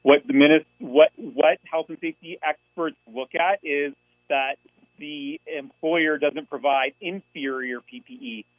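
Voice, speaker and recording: Guy at a board meeting, kinda high voice, earnest, dry muffled audio. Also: fairly even intonation, no echo. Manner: earnest, halting with restarts. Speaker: union representative presenting to a school board. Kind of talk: public meeting remarks.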